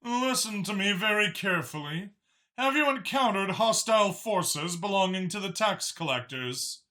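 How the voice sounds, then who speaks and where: A man's voice: high-pitched, expressive, normal speed, pushed and bright, voice pushed up. A man playing an authoritative counselor speaking carefully and insistently over comms in an audio story. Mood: urgent, deliberate.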